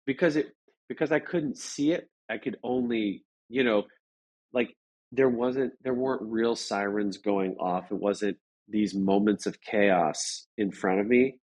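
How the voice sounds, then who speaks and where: A man with a fairly low voice talks as a guest in a casual interview. He recounts things conversationally, circling back and repeating phrases as he feels his way through each thought. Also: darkish tone. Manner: halting, rambling, with repeated phrases.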